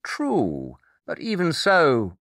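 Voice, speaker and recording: An actor playing a shrewd, self-assured detective with a clipped, didactic manner in an audio drama. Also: male voice, a little high, wildly swooping pitch, slow pace, fairly bright tone, no room sound, studio quality, heavily acted. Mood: inquisitive, assured.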